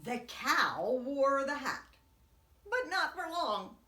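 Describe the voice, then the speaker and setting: A woman reads a children's story like a preschool teacher, with bright, very lively swoops in pitch. Her delivery is slow and expressive, made for small listeners. The room around her is echoey. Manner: expressive, dramatic whispers and swoops. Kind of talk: animated read-aloud storytelling for young children.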